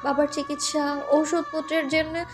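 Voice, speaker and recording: Woman as protesting young sister in audio drama, extremely high voice, faint music underneath. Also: flat delivery, moderate pace, lightly acted. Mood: annoyed, insistent. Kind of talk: audio story acting.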